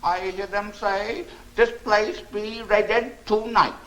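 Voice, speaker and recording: Slow, high-voiced sly informant. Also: male voice, fairly even intonation, sharp tone, exaggerated voice, poor audio. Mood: humble, sly. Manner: broken, stilted, formal phrasing. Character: sly Chinese shopkeeper informant, humbly ingratiating adult male. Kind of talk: 1940s film serial acting. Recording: old 1940s film soundtrack.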